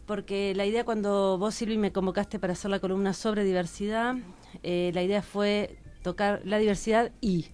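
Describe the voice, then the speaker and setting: A woman's voice: medium pitch, fairly even intonation, moderate pace, a little mellow, clean tone, faint music behind. A woman with an Argentine accent, a radio columnist introducing guests in an informal, affectionate conversational style.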